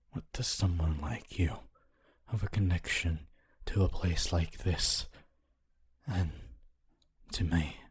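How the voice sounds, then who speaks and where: Guy doing a gentle old-world ghost scholar for asmr, really low n super husky whispery breath, wistful, formal, takes his time. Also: full character voice. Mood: hopeful, intrigued. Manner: slow, deliberate, formal phrasing with long pauses. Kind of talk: ASMR roleplay, slow intimate monologue.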